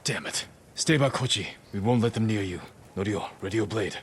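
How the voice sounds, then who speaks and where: Actor as a low-voiced stoic samurai lord. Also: male voice, fairly quick, a little hiss or hum, lightly acted. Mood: guarded, urgent. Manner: terse, grave, commanding. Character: stern, stoic samurai lord, protective and commanding. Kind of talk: dramatic video game cutscene acting. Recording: background music under the dialogue.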